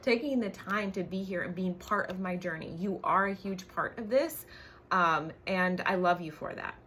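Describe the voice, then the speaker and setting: Lady coach doing a motivational live, lowish voice, punchy and sweary, bit of noise behind her. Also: moderate pace, a bit bright. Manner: emphatic, frequent tag questions, swears casually. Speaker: brand coach and business co-owner. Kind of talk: motivational livestream talk to camera.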